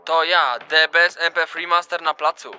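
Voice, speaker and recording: A man's voice: a little high, level tone, moderate pace, sharp tone, faint music behind. A man playing a boastful hip-hop producer making a slangy radio announcement in a comic audio drama. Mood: hyped swagger.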